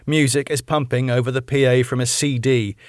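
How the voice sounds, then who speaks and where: A man reading his own sitcom script aloud, stage directions included, with a lively delivery. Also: mid-range voice, a little fast, no room sound, studio quality.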